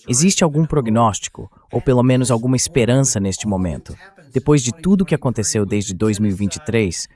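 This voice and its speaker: A man's voice: mid-range voice, a little mellow, close to an everyday voice. A man voicing a translated political interview host, putting questions to guests in a dubbed voice-over.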